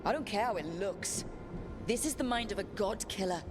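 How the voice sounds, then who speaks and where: A woman's voice: medium pitch, lively intonation, normal speed, background noise, lightly acted. A woman playing a steadfast, earnest, determined heroine in dramatic video game dialogue. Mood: earnest, encouraging.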